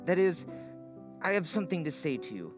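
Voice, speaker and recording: An actor playing a shy, earnest, humble suitor with a halting, pleading delivery in an old-time radio drama. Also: male voice, on the high side, very animated, music in the background. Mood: pleading, tender.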